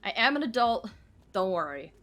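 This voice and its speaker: A woman's voice: medium pitch, big pitch swings, moderate pace, fairly bright tone. A woman in her twenties streaming a game, chatting casually, joking and answering her chat. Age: 20s. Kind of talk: casual live gameplay chat.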